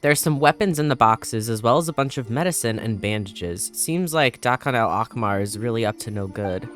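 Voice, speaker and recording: Animated man hosting a gaming video. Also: medium pitch, normal speed. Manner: animated, casual, reads game text aloud. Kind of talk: let's play reading and reacting. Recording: faint music behind.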